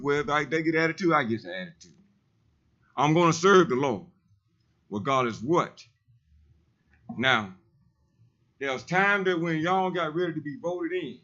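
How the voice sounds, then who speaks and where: Guy, big heavy loud voice, preachy and insistent, kinda muffled meeting audio. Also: a little high. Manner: emphatic, repetitive, preacherly insistence. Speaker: resident speaking at public comment. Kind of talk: public comment at a council meeting.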